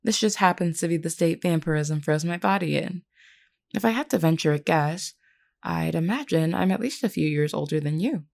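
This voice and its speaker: Woman playing a low-voiced, creaky, gentle vampire queen. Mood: gently amused. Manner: measured, reassuring, formally worded. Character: gentle, candid vampire queen, young-looking but older woman. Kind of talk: audio roleplay, speaking intimately to one listener.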